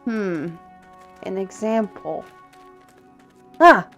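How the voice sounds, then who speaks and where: A woman's voice: mid-range voice, sing-song, very slow, fairly bright tone, soft background music, heavily acted. A woman playing a proud, chatty fantasy artist and magical steward in an audio roleplay, theatrical and opinionated in manner. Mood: explaining.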